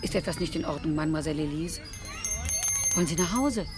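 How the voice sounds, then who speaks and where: A woman's voice: low-pitched, fast talker, a little mellow, faint music behind, close to an everyday voice. An actress playing a chatty, motherly carer who fusses in homely radio drama dialogue. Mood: homely fussing. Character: chatty, motherly woman carer, fussing kindly.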